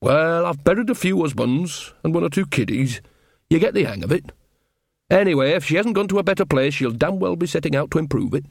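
An earthy old village witch played by a man for an audiobook. She is brisk and unsentimental, speaking in a blunt, folksy way with a motherly streak. The sound is close and dry. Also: a little high, lively intonation, creaky, dry recording, pitched above the performer's own voice. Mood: matter-of-fact, wry. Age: older. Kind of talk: audiobook character dialogue.

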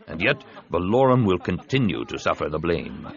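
A man voicing a big, scheming alien senator in an audiobook, with measured, persuasive delivery. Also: low-pitched, big pitch swings, highs cut off. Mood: firm, calculating. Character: scheming, soothing, heavyset male alien senator and host.

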